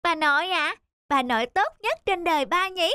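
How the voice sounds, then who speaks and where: A woman voicing a sweet, polite little boy in an animated moral tale, childlike and respectful. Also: very high-pitched, moderate pace, pitched above the performer's own voice, full character voice. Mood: eager, affectionate. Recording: clear background music throughout.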